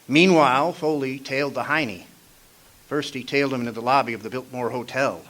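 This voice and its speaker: An actor playing a sardonic, hardboiled private eye narrating a radio detective parody. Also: male voice, medium pitch, a little fast, a bit bright, natural voice, rough recording. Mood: dry narrating.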